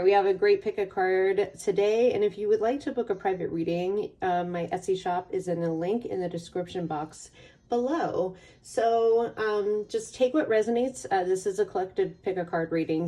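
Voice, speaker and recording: A woman hosting a casual tarot card reading in an informal, playful way, her voice slightly raspy as she gets over a cold. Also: lowish voice, mellow, recorded from a distance. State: getting over a cold or allergies. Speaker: tarot reader hosting a pick a card channel.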